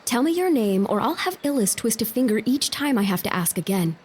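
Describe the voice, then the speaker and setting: A woman's voice: on the high side, big pitch swings, brisk, slightly rough. A woman playing a resolute young noblewoman in an audio drama, firm and composed. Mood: stern authority. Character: resolute young noblewoman running an army hospital.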